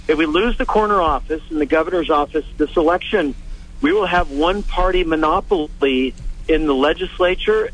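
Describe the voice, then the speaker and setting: A man, calling into a radio show as a candidate, making an earnest campaign pitch with halting restarts. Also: fairly bright tone, slightly rough, poor audio.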